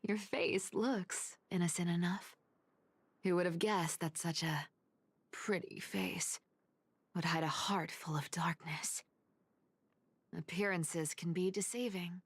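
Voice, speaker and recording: An actress voicing a sly, playful female assassin in a video game, teasing and coolly menacing in a breathy, theatrical drawl. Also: on the low side, fairly even intonation, slow, pauses often, no room sound, heavily acted. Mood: teasing menace. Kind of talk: English game voice acting, theatrical monologue.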